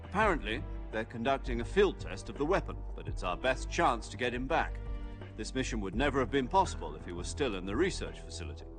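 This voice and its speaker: An actor playing a grave intelligence commander who briefs his field agent in a measured, authoritative way in a video game cutscene. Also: mid-range voice, very animated, close to an everyday voice. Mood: serious, explanatory. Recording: loud music under the voice. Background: loud cinematic score.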